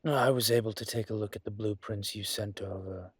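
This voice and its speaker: A man voicing a calm, courteous dragon mafia boss in a roleplay audio, speaking in a husky, measured, polite way. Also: on the low side, no room sound, studio quality. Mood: courteous, businesslike. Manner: measured, polite, deliberate with pauses. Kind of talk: scripted roleplay audio monologue to listener.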